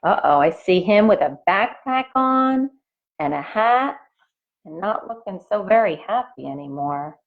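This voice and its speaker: Slow, lively woman reading to children, phone-like sound. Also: medium pitch. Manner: explains and asks questions to young listeners. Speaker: elementary school teacher reading aloud. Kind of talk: expressive children's picture book read-aloud with asides. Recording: phone-like, narrow-band sound.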